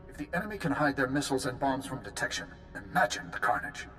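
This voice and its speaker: An actor playing a grave, urgent colonel in a video game, speaking in an earnest, commanding way. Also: male voice, mid-range voice, sing-song, fast, a bit bright, faint music behind. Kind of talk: video game cutscene acting.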